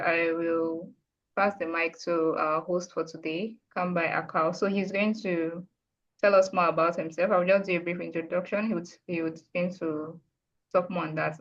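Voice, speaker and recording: A woman moderating a video call, briskly passing the mic to speakers. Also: fairly low pitch, gravelly. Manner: brisk, organizing turns. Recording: muffled video call audio.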